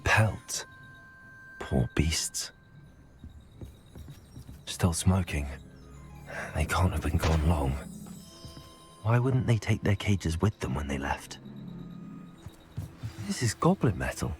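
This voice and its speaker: Student spellcaster, video game lead: observant, measured, animated pitch, over clear music. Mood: musing. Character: teenage student witch or wizard, bold, observant investigator.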